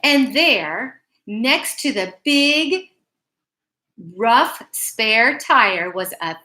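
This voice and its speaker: A woman reading a picture book aloud to children as a bedtime story, phrasing it deliberately and emphatically with little asides to her young listeners. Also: fairly high pitch, lively intonation, sharp tone.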